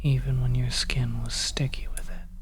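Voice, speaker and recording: An ASMR performer of unclear sex playing a bleak, weary narrator of a desolate world in a halting, hushed whisper over oppressive ambience. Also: mid-range voice, little pitch movement, close to an everyday voice. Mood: numb, haunted. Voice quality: whispery, soft. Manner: halting, hushed, drawn-out phrasing. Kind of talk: slow whispered horror ASMR monologue. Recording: oppressive ambient noise behind voice.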